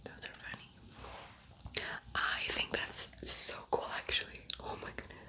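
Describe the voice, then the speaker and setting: A woman speaking in a breathy, unvoiced whisper, chatting casually and thinking aloud as she hosts an ASMR makeup tutorial. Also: measured pace, poor audio.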